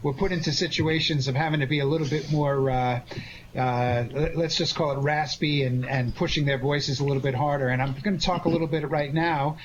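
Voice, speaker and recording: A man's voice: a little high, fairly even intonation, fairly quick. Noisy lo-fi male show host. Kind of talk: unscripted cast introductions and questions. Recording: dull lo-fi line with clear noise.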